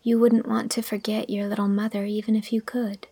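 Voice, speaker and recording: A woman voicing a kind young schoolteacher in a storybook, soft, gentle and consoling. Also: mid-range voice, little pitch movement, a little fast, vocal fry, breathy edge. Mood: tender, consoling.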